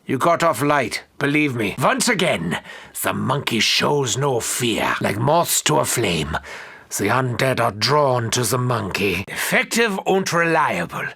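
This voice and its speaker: An actor playing an arrogant, menacing German-accented mad doctor in a video game, with grandiose, formal, theatrical delivery. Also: male voice, medium pitch, big pitch swings, unhurried, vocal fry, bright, forceful tone, husky, full character voice. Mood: cold, dry. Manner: grandiose, formal, precisely worded. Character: arrogant, menacing male mad doctor battling undead hordes.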